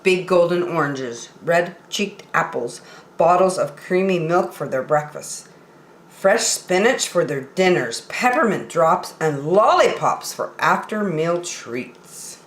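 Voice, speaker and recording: A woman reading aloud expressively as a storyteller for young children. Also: fairly low pitch. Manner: expressive, deliberate enunciation. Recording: roomy echo.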